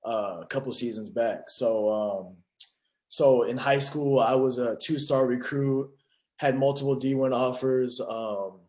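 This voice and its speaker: A young man from California tells his story in an earnest, steady way, his mid-pitched voice even and plain. He answers at an unhurried pace. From Fresno, California. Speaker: guest, trainer. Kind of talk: casual interview.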